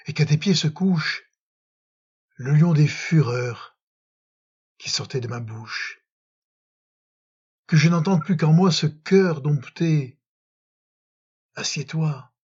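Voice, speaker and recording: A man reciting love poetry in a dramatic, solemn and measured manner, with frequent pauses, on a slightly muffled recording. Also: on the high side, darkish tone, slightly rough. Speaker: poetry reader.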